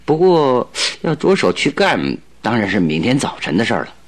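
An actor playing a confident, shrewd detective in a radio drama, with a hearty theatrical delivery. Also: male voice, medium pitch, sing-song, normal speed. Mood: resolute. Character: confident, jovial male detective, shrewd and animated. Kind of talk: Mandarin radio drama acting.

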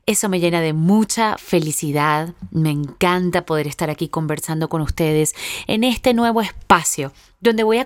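A woman's voice: lowish voice, no echo, clean studio sound. A young woman, hosting her own podcast in a heartfelt, reflective personal monologue. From Venezuela. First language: Spanish. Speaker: podcast host.

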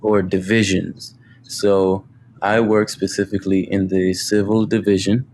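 Slow, low-voiced male podcast guest. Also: little pitch movement, a little mellow, slight background noise. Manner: humble, halting, with many fillers. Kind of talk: casual interview.

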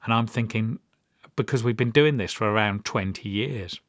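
A man's voice: fairly low pitch, normal speed, fairly bright tone, no room sound. A middle-aged British man telling personal stories as a podcast host, with a dry, wry, understated manner. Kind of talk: personal storytelling monologue.

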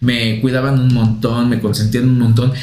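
A man's voice: mid-range voice, moderate pace. Storytelling Mexican man, echoey room. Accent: Mexican Spanish. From Puebla, Mexico. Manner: lively storyteller, acts out past dialogue. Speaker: podcast co-host, forensic photographer. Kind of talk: casual chat.

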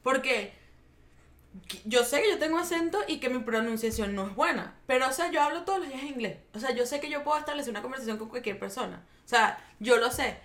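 Chatty young Venezuelan woman, bright voice. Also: high-pitched, expressive, quick pace, hardly any pauses. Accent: Venezuelan Spanish. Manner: chatty, full of fillers, laughs often. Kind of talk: casual chat.

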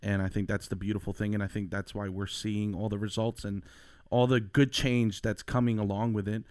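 A man with a New York accent, a worship leader from Long Island, speaking earnestly in casual podcast chat, halting with many fillers. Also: on the low side, level tone, darkish tone, clear, resonant tone, dry recording, clean studio sound.

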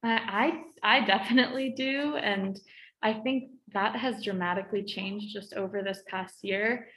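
A woman's voice: medium pitch, unhurried, fairly bright tone, reverberant, poor audio. A teenage girl talking casually in a conversation, with many fillers and light laughs between phrases. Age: teens. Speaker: student.

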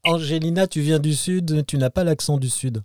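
A man's voice: a little high, moderate pace, a little mellow, no echo. A man hosting a radio interview, asking questions in a friendly, informal way. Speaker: radio host.

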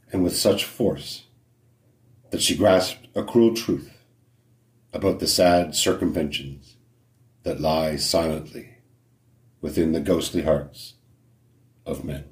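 A man reciting a poem solemnly as a poet, in measured phrases with dramatic pauses. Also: low voice, a little mellow, roomy sound.

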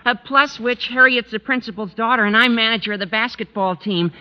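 A man playing an eager, puppyish teenage schoolboy in an old radio sitcom, with a squeaky voice and flowery, earnest manner. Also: brisk. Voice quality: squeaky adolescent voice. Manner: flowery, earnest, over-gallant. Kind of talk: 1940s-50s radio sitcom acting. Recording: muffled old radio recording.

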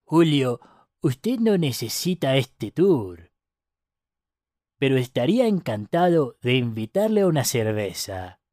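Man playing an elderly Argentine guide, slow delivery. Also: mid-range voice, very animated, a little mellow, no room sound, studio quality, pitched above the performer's own voice. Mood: surprised, eager. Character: elderly Argentine tour guide, slow, polite, suddenly delighted.

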